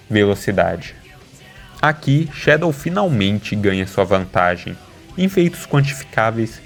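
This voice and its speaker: Man, Brazilian accent, scripted narration, medium pitch, analytical video narrator, faint music behind. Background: background music.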